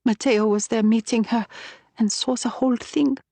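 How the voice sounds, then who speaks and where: Woman voicing an anxious, pinched-voiced young resistance courier, pitched up. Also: fairly even intonation, normal speed, gravelly, mellow, dry recording. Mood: anxious, grim. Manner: pleading, urgent.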